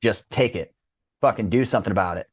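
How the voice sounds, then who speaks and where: A man in his thirties chatting casually and excitedly as a podcast guest, rambling with lots of slang and swearing. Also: lowish voice, fast talker, breathy edge, no echo. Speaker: podcast guest, disc golf business owner.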